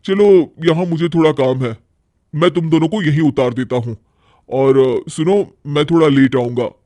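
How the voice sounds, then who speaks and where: A man playing a caring but exasperated young husband in a cartoon story, expressive and reproachful. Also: on the high side, moderate pace, no echo, natural voice. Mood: curt. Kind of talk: animated Hindi moral-story cartoon acting.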